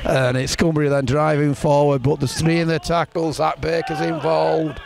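Male rugby league play-by-play voice, gravelly, slightly high, chatty, rising on big plays, crowd noise behind. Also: level tone, normal speed. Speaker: play-by-play commentator. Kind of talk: amateur rugby league commentary. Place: outdoors at a rainy rugby ground. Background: spectators and rain.

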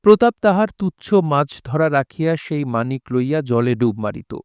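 A man reading a scripted literary story as narrator, in measured, formal diction. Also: on the high side, animated, darkish tone, clear, resonant tone, no echo, studio quality. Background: faint music.

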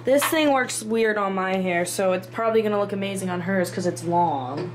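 A woman's voice: medium pitch, moderate pace. A young woman chatting casually and giggling while filming a vlog with friends in her apartment. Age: young adult. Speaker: vlogger. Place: apartment and parking garage. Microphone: handheld camera. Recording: echoey rooms with loud background noise.

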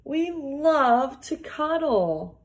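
A young woman reading a picture book aloud for small children, deliberately, with casual asides. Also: high voice, very animated. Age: young adult. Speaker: early years worker reading a picture book. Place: small plain indoor room, seated at a desk. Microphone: phone or device propped at arm's length.

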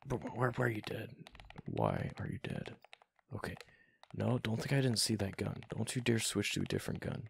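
A man playing a young gamer boyfriend in an ASMR roleplay, reluctant but affectionate, with halting, slangy, casual talk. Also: slight background noise. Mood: busy, bantering. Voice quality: deep, gravelly, breathy murmur at times. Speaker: voice actor in boyfriend roleplay audio.

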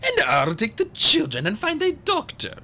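A man's voice: huge pitch swings, normal speed, pushed and bright, full character voice. A man voicing a scheming villain who pretends to be a distressed, fluttery assistant in an audiobook story. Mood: smooth, falsely apologetic. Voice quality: high, fluttery when pretending. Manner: theatrical, oily, falsely apologetic.

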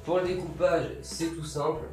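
A young man casually talks through a DIY sewing tutorial while working, relaxed and filling pauses with little interjections. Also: fairly high pitch. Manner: relaxed, talks while working, many fillers and "hop, voilà". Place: bare room with grey wall, indoors. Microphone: camera mic from a distance. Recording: roomy echo, distant mic, faint music.

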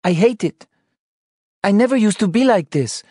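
Male narrator voicing upset young wife; raised pitch, pleading, soft mellow tone, dry read. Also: moderate pace, no echo, close to an everyday voice. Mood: frightened. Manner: pleading, emotional delivery. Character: anxious tearful wife, adult woman.

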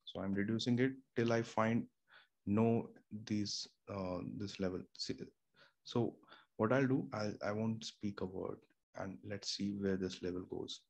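Man, Indian accent, lowish pitch, casual tutorial, halting explanations, home studio mic. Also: gravelly, a little mellow. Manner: explains step by step with many fillers and restarts. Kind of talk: casual screen-share tutorial. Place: small home room with air conditioner and fridge nearby. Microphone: studio microphone through an audio interface. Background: faint room hum.

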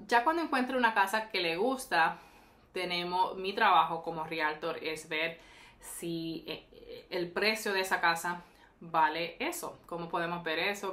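A woman's voice: on the low side, fairly bright tone. A woman, explaining things to camera as a realtor. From Atlanta, United States, of Puerto Rican parents. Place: small indoor room with brick wall. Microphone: lapel.

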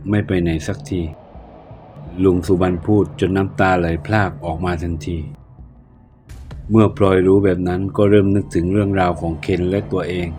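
A man narrating a ghost tale in a steady, measured way. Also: low-pitched. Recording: narration with faint background music. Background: faint music.

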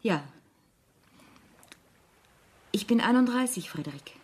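A woman's voice: on the high side, animated, takes it slowly, slightly rough, full character voice. An actress playing a proud, distrustful, desperate woman in her thirties in a radio drama, with an intense, pleading manner. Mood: subdued, pleading. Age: 30s.

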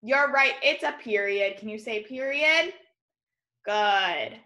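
A young woman teaching small children in a video lesson, animated and encouraging, spelling out and enunciating words as she invites them to repeat. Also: fairly high pitch, slow. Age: young adult. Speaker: elementary school teacher. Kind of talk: early-grade video lesson to children, call and response. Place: room in a home, indoors.

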